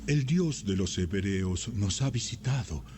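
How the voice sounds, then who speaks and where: A man's voice: on the low side, animated, a little slow, warm tone, soft background music. An actor playing a solemn, insistent Hebrew prophet pleading with a king, declaiming in an audio Bible drama. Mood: earnest insistence.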